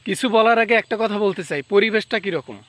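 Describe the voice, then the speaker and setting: A man's voice: high voice, fast, a bit bright, no echo. A man hosting his channel, giving a casual outro to viewers. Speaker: channel host.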